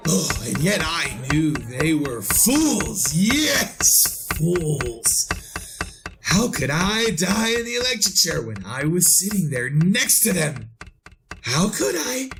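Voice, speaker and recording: An actor playing a frantic condemned murderer telling his story in a retro radio drama, halting and repeating himself. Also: on the high side, very animated, creaky, background noise, heavily acted. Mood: haunted dread. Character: frantic condemned murderer recounting witnessing his own execution.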